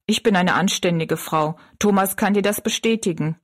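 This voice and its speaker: A woman's voice: medium pitch, moderate pace, lightly acted. A woman voicing a self-righteous, respectable old mother in a storybook, with a dismissive manner.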